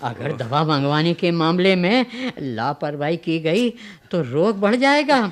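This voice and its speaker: An actor playing a querulous, self-pitying, sick old father in a radio play, complaining in a plaintive, drawn-out way. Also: fairly high pitch, sing-song. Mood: nagging. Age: older. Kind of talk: Hindi radio play acting.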